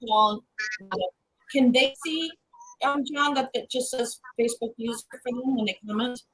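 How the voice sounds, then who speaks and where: High-voiced woman co-hosting a livestream. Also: normal speed, a bit bright, poor audio. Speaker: co-host of a horror livestream. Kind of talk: casual live chat with guest and viewers.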